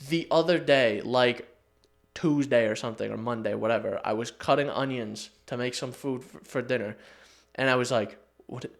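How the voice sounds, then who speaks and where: A man's voice: medium pitch, normal speed, gravelly. Male, early twenties, mid-range voice. Podcast banter, slangy, foul-mouthed, joking. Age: early 20s. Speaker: podcast co-host. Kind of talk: casual banter with a friend.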